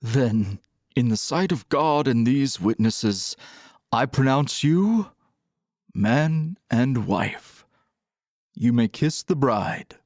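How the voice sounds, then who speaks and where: A man's voice: mid-range voice, expressive, slow, darkish tone, no echo, clean studio sound. A man playing a stuffy, old-fashioned, easily flustered bishop in an audio drama, speaking in a formal, fussy, ceremonious way. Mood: solemn, ceremonious.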